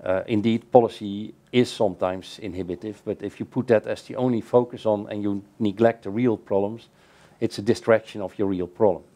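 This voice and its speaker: A middle-aged man, answering panel questions in a measured, explanatory way with frequent restarts. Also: fairly low pitch, steady tone, dark tone. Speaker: keynote speaker. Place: bright room by large windows over a canal.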